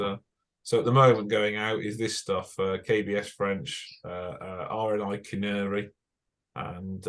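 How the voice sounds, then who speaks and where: A young British man giving an informal technical talk to a radio club as a broadcast engineer, chatty and self-correcting with many fillers. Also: fairly low pitch. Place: club meeting room.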